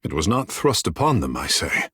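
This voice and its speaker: A vain, theatrical water mage from a fantasy video game. His voice is low and husky, rising and falling dramatically. He phrases things with lofty, courtly formality, and the sound is close and dry. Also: sing-song, moderate pace, bright, forceful tone, dry recording, exaggerated voice. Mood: earnest, dignified.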